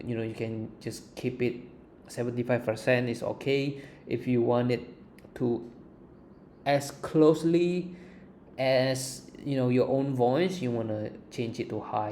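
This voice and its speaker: A man presenting an informal software walkthrough as a tutorial host. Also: medium pitch. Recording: faint background noise.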